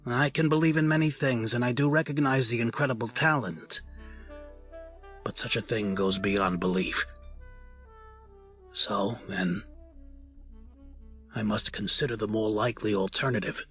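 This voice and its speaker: Man acting as dignified crime lord, menacing, formal, measured, grave, audio drama, medium pitch, music underneath. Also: a bit bright, lightly acted. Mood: grave, accusing. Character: proud, menacing, dignified crime family patriarch.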